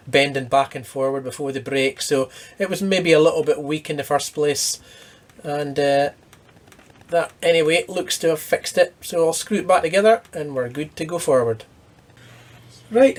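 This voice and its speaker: A man with a somewhat high voice gives a casual demonstration at his workbench. He talks informally, thinking aloud as he works through a repair. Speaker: presenter of a hobby electronics repair video. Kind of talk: casual hands-on demonstration commentary.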